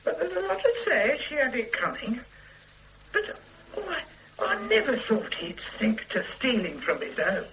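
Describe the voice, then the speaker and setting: A woman's voice: on the high side, very animated, normal speed, a bit bright, slight background noise, low-quality recording. A woman playing a blunt, defiant middle-aged shopkeeper, in a radio drama, who stammers and restarts her sentences. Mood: stubborn. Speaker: witness.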